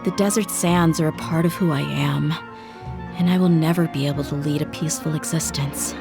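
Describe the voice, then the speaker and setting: An actress voicing a tough, reflective female mercenary in a video game, speaking calmly and candidly. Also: sits low, a little fast, creaky, music in the background.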